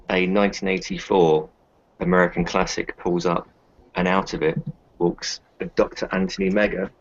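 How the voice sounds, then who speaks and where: A man with a deep, low voice. He narrates a tabletop roleplaying scene in the third person. The recording sounds muffled. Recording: dull lo-fi sound.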